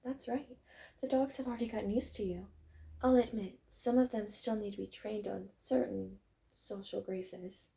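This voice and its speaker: A woman playing a friendly, wholesome tomboy dog girl who runs a kennel, talking in a gentle, encouraging, chatty way in a roleplay audio. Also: medium pitch, steady tone, moderate pace, gravelly, lightly acted. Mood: gentle, reassuring. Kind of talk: roleplay audio, acted one-sided conversation.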